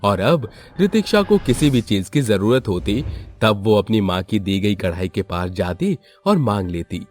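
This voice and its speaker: A man's voice: on the low side, sing-song, unhurried. A man narrating a scripted moral story for children. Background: background music.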